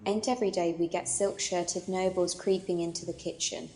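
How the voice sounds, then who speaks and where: An actress playing an earthy, amused castle kitchen worker in an audio drama, with rustic, folksy speech. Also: female voice, lowish voice, fairly even intonation, moderate pace, noisy background, natural voice.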